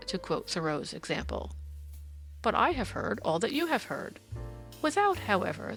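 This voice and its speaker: A woman voicing a confident, amused gentleman detective who speaks precisely and teasingly in an audiobook story. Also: on the high side, rough recording.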